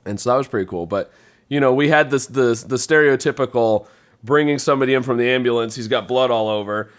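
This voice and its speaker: A man talking casually on a podcast, telling things in an animated, colloquial way. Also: mid-range voice, quick pace, clean tone.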